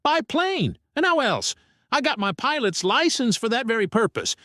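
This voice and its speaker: A man's voice: very high voice, big pitch swings, moderate pace, pushed and bright, no echo, clean studio sound, pitched above the performer's own voice, exaggerated voice. A man voicing a cute, eager young bear in a children's storybook, exclaiming with enthusiasm. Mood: proudly enthusiastic. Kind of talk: children's storybook read-aloud.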